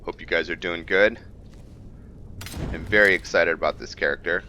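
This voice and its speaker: A man's voice: low voice, moderate pace, noisy background. A man hosting a gaming video, giving casual let's-play commentary as he plays.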